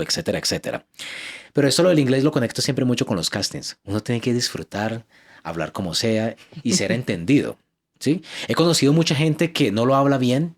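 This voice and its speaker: A man hosting a casual interview, who chimes in often with short words of agreement. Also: mid-range voice. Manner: supportive, frequent short agreements. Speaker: host.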